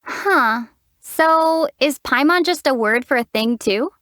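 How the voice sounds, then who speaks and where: A calm young traveler heroine. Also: female voice, high voice, takes it slowly, dry recording, exaggerated voice. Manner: calm, gently wry replies. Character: calm young traveler heroine, level-headed and gently wry. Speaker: game protagonist. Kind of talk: video game character dialogue. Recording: dry, close studio voice.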